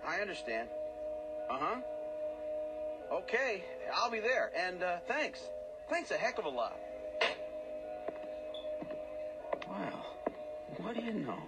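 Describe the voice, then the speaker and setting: A man's voice: sits high, big pitch swings, unhurried, long pauses, over loud music, full character voice. An actor in a radio drama playing a down-on-his-luck former ballplayer, humble, hesitant and self-deprecating. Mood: stunned, hopeful.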